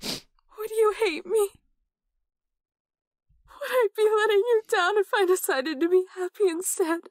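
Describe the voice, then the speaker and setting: A woman's voice: extremely high pitch, measured pace, dry recording, studio quality. An actress playing a heartbroken young woman in a visual novel, confiding and wistful, with raw emotion. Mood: tearful, pleading. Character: heartbroken young woman on a desert road trip. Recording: background music under voice.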